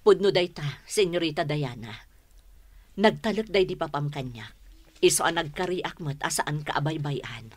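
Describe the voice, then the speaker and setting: Radio drama helper: low female voice, loyal, frank, earnest emphasis. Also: expressive, moderate pace. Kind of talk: Philippine radio drama acting.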